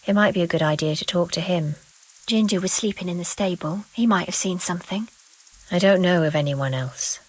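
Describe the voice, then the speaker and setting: A woman's voice: on the low side, brisk, breathy edge, low-quality recording. A woman playing a helpful, matter-of-fact villager in a video game cutscene. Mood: helpful, calm. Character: helpful, measured village woman giving advice.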